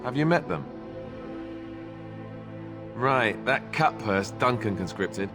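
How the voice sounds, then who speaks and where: An actor playing a young, wry, self-deprecating junior knight, chatting in a fantasy game. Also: male voice, medium pitch, lively intonation, takes it slowly, music in the background. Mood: casual, helpful. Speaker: junior warrior guiding new recruits. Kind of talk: conversational fantasy game dialogue.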